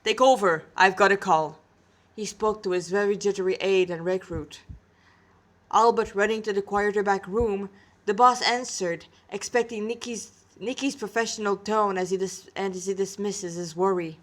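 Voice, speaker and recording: A woman's voice: medium pitch, fairly even intonation, moderate pace. A woman reading scripted fiction aloud as an amateur storyteller.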